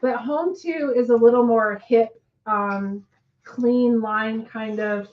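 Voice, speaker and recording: A woman co-hosting a casual live chat, rambling with many ums and restarts, her voice hoarse and nearly gone. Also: fairly high pitch, slow pace, dull audio.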